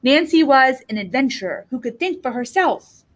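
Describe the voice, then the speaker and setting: A woman's voice: high-pitched, expressive, moderate pace. A woman reading the part of an earnest, strong-willed, bookish young girl in a storybook.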